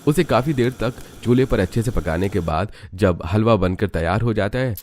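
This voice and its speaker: A man's voice: fairly low pitch, lively intonation, normal speed, a little mellow. A man reading scripted narration for a family cartoon story.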